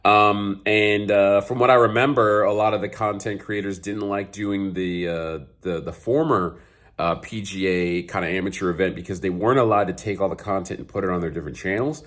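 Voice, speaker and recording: Man, 30s, a little scratchy from a cold; casual golf vlogger who rambles with fillers, lowish voice. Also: a little fast, fairly bright tone. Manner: rambling, many fillers and restarts. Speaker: golf YouTuber. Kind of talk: casual vlog commentary. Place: home garage.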